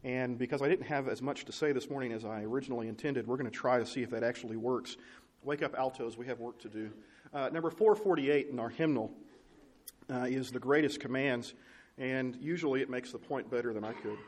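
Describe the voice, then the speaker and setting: A man's voice: medium pitch, a little fast, darkish tone. A man preaching a conversational sermon to his congregation, often asking them rhetorical questions.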